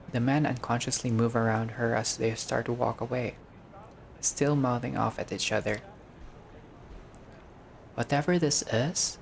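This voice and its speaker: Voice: mid-range voice, vocal fry, a little hiss or hum. A gamer reading a visual novel aloud in a casual let's-play style, breaking off into joking, sweary asides.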